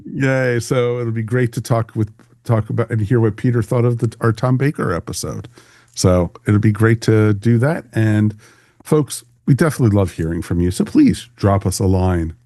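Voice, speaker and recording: Male podcast host, low-pitched and chatty, folksy humor, close dry sound. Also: normal speed, mellow, dry recording. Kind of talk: casual podcast hosting.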